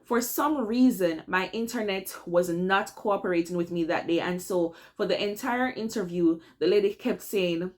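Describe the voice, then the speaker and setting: A young woman with a Jamaican accent talks straight to her viewers in a relaxed advice vlog. She keeps it chatty and personal, often checking in with them as she goes. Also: medium pitch, moderate pace, few pauses. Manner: chatty, addresses viewers as "guys", repeats "all right?". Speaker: vlogger giving career tips. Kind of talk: casual advice vlog to camera.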